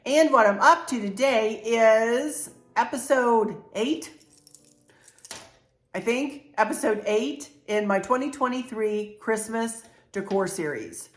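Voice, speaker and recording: A woman's voice: a little high, lively intonation, slow pace. An older woman, chatting bubbly and congested as a home decor vlogger talking straight to her viewers. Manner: bubbly, talks straight to viewers, warm fillers. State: congested, clearing throat. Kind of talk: casual chatty vlog. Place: home interior room. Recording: echoey room.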